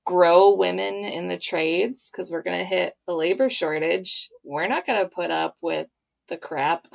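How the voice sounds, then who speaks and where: A woman's voice: on the low side, vocal fry. A woman hosting an interview, talking in a reflective, conversational way. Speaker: podcast host.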